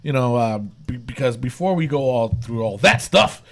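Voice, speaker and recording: A man's voice: medium pitch, animated, moderate pace. A man hosting a casual wrestling podcast, rambling in loose slang and laughing often. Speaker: podcast host.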